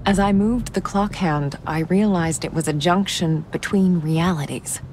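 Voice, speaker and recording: A woman playing a calm, caring, wry investigator who senses spirits, in measured video game dialogue and narration. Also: on the low side, a little hiss or hum, close to an everyday voice. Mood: reflective. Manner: measured, musing to herself.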